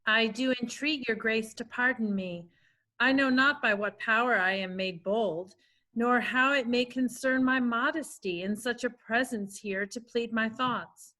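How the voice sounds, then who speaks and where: A woman's voice: on the high side, a little slow, bright, forceful tone. A woman playing a bold, earnest young maiden in a formal Shakespearean table read. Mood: pleading. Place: remote video call reading. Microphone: video call.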